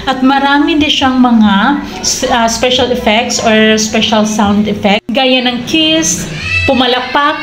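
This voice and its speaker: A woman giving a casual online sales pitch in a small room. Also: takes it slowly. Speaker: online seller promoting a product. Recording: roomy echo, clear background noise.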